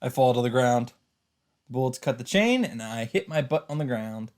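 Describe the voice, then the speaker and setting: Man doing casual, halting game commentary. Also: medium pitch, expressive, darkish tone. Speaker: let's play host. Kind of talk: casual game commentary and read-aloud visual novel narration.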